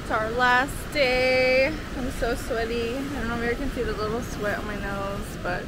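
A woman's voice: on the high side, steady tone, normal speed. A woman vlogging casually outdoors at the beach, informal and giggly, often slipping into doting baby talk. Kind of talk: casual chatty family vlog. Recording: very noisy outdoor beach and vehicle sound.

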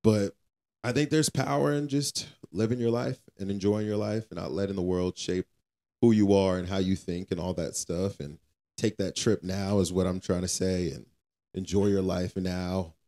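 A man's voice: low voice, fairly even intonation, normal speed, mellow. A man co-hosting a casual faith podcast, talking in an informal, colloquial and earnestly preachy way. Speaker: podcast co-host.

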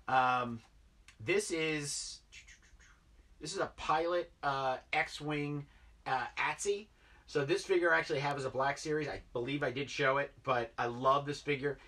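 Man in his 30s, toy-collector vlogger, rambling chat, husky edge, a bit off-mic. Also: fairly high pitch, a little slow, bright, forceful tone, far from the mic. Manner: rambling, filler-heavy, enthusiastic about toys. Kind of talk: casual unboxing chat. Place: basement toy room, indoors.

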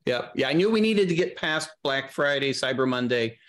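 A middle-aged man chatting casually as an interview guest on a video call, thinking aloud in a halting way. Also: medium pitch, lively intonation, a bit bright. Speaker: guest, audio drama creator. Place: small room on a video call.

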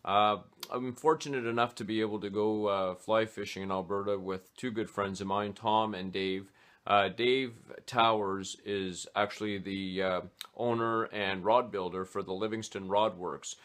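A man in his forties talking casually to a webcam in a small home office, pausing often with "uh" between phrases as he explains his fishing gear. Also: fairly low pitch, steady tone, fairly bright tone. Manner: hesitant, many "uh" fillers. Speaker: fly fishing vlogger. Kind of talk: casual gear talk to camera.

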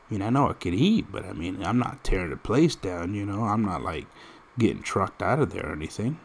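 A man's voice: fairly low pitch, vocal fry, slight background noise. A man in his thirties chatting casually and rambling through stories while playing a mobile fighting game, direct and full of restarts. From United States. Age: 30s. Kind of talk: casual gameplay commentary.

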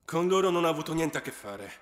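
A menacing underworld god. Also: male voice, very animated. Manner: slow, low, threatening delivery. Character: menacing male god of the underworld, cold and scornful. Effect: heavy reverb. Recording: cavernous echoing reverb effect.

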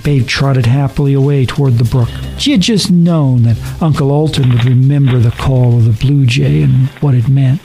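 A man reading a horror story as a dramatic narrator, deliberate and suspenseful. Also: mid-range voice, vocal fry, mellow, low-quality recording. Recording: narration over background music.

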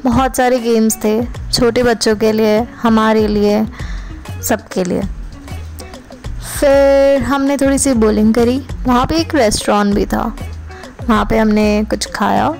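A woman's voice: a little high, fairly even intonation, a little slow, gravelly, darkish tone. A young woman narrating a travel vlog in a casual, chatty way, often addressing viewers directly. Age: young adult. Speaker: vlogger. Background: background music.

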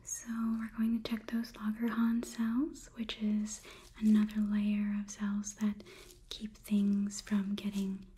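A young woman whispering gently and clinically in an ASMR roleplay as a robot examiner, close to the microphone. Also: mid-range voice, a little hiss or hum. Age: young adult. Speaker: ASMR performer. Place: small indoor studio. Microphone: close microphone, framed close to camera.

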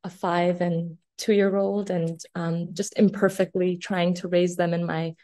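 Slow, low-voiced woman reading poetry. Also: flat delivery, mellow. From Afghanistan. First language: Farsi. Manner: measured, deliberate recitation with long pauses. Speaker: poet. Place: small office room indoors. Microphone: laptop webcam video call.

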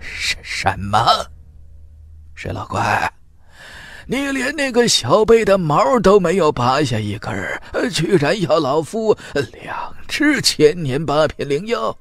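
A proud, hot-headed old fire master, pitched high and swooping wildly. He drags out his words with scorn and outrage, as in an audiobook fantasy tale. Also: big pitch swings, no echo, heavily acted. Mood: outraged. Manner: drawn-out, scornful, incredulous outbursts. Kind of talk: audiobook character acting.

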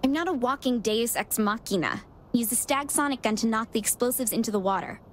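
A woman's voice: fairly high pitch, expressive, brisk, a little hiss or hum, lightly acted. A woman playing a sardonic female hacker giving instructions. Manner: dry, sardonic.

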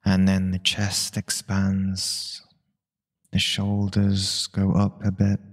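A man with a deep voice guides a meditation at an extremely slow pace. His delivery is calm and very even, with little rise or fall. He gives gentle instructions in short phrases, leaving long pauses between them.

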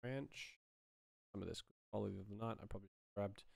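A man in his thirties, a sound designer, thinking aloud casually in low-key short fragments as he works in a small studio. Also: a little fast, vocal fry, no echo. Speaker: sound designer, tutorial host. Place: small home studio room indoors.

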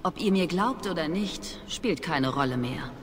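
A woman's voice: lowish voice, expressive. Proud young witch, aloof, formal, disdainful, video game drama, long reverb, noisy ambience. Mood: bitter, disdainful. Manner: measured, formal, disdainful speech.

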